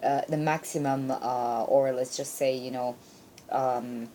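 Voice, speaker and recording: A woman's voice: a bass voice, takes it slowly, a little hiss or hum. A woman hosting a casual live radio interview, chatty and reactive, often echoing what she hears. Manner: chatty, animated reactions, echoes guest's answers. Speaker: radio show host. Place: radio studio.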